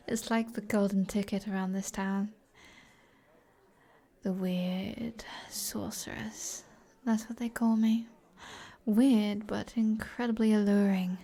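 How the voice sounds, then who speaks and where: An alluring fantasy sorceress, played by a woman with a British accent speaks slowly and softly in an intimate ASMR roleplay. Her voice is breathy and often near a whisper, medium in pitch. She is flirty and teasing, taking her time over each phrase. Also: little pitch movement. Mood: playful, teasing, then wry, coy.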